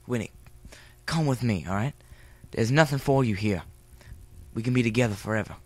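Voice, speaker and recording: A man's voice: medium pitch, moderate pace, no room sound, close to an everyday voice. A man playing an ardent, pleading lovestruck boy in an audio drama, urgent and romantic.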